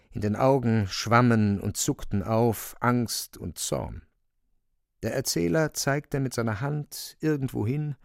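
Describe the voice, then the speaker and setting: An actor narrating a literary radio drama as a grave storyteller, measured and dramatic. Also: male voice, mid-range voice, gravelly, no echo, clean studio sound.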